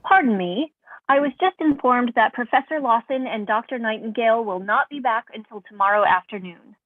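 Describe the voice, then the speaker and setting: A thin, phone-like voice, slightly high: a woman playing a female robot assistant. She is courteous and literal, with formal, measured, precise phrasing in a science fiction drama reading. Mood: factual, formal. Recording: thin phone-like band-limited sound.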